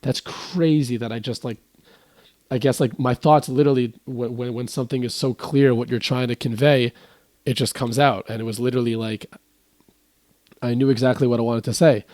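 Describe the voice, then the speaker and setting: A man's voice: medium pitch, moderate pace, dry recording. A young American man, a musician guest on a podcast, telling a story casually, often restarting and acting out what others said. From United States. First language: English. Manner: rambling, with frequent restarts and animated quoting.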